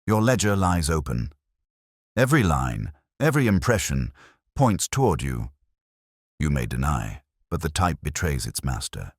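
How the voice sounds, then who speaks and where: A shrewd storybook detective, as performed by a male narrator, speaks in an extremely low voice with swooping inflection. He is formal and calmly relentless, laying out each point with incisive care. The sound is close and dry. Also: moderate pace, slightly rough, no room sound, heavily acted. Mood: quietly accusing. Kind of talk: dramatised audiobook mystery.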